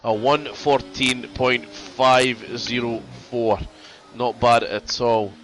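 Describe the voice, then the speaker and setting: A man's voice: mid-range voice, steady tone, slow pace, a bit bright, low-quality recording. A man doing casual sim racing commentary, congested from a cold, chatty and prone to repeating himself.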